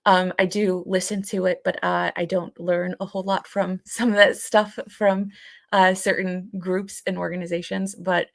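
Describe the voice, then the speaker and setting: A woman co-hosting a podcast in casual discussion, thinking aloud in a hesitant, halting way. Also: lowish voice, flat delivery, gravelly, no echo, studio quality. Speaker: podcast co-host.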